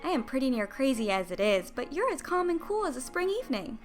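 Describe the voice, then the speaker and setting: A woman playing an excitable, devoted teenage best friend in a dramatised audiobook, talking in a breathless gush. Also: high voice, fast, faint music behind. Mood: excited, gushing. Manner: breathless, gushing. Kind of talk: dramatised audiobook character acting.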